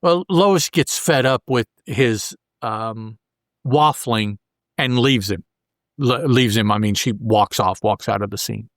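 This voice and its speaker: A man's voice: medium pitch, takes it slowly, a bit bright, no echo, studio quality. A man hosting a podcast, recapping a comic book in a casual, colloquial way with joking asides. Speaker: podcast host.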